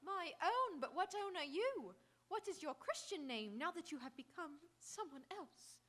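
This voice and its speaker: Casting: actress as gushing young society sweetheart, high-pitched, stagey radio romance. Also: female voice, very animated, moderate pace, fairly bright tone, full character voice. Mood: anxious, romantic. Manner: gushing, theatrical. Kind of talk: live stage radio theatre acting.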